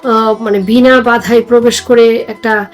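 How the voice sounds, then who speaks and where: A woman's voice: fairly high pitch, steady tone, measured pace, faint music behind. A mature woman with a Bangladeshi accent speaking as a guest in a formal discussion, earnest and deferential. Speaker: guest, former judge.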